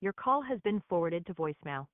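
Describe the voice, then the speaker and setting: A woman's voice: on the low side, expressive, a little fast. A woman's automated voicemail announcement, played as a recorded message over a noisy, tinny phone line.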